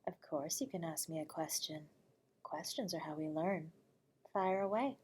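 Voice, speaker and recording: A woman's voice: low-pitched, normal speed, a little hiss or hum, close to an everyday voice. A woman playing a whispery undead horror host. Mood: silky, teasing. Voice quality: soft, whispery and breathy. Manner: courteous and teasing, chuckling often. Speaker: late-night horror radio host. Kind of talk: audio roleplay addressing the listener.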